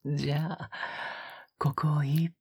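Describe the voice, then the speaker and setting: Man acting breathy, whispering tender young lover. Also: fairly high pitch, little pitch movement, no room sound, heavily acted. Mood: soft, teasing. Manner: slow, soft, close and coaxing. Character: tender, teasing, coaxing young male lover. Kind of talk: intimate romantic audio drama acting. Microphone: close microphone, dry sound.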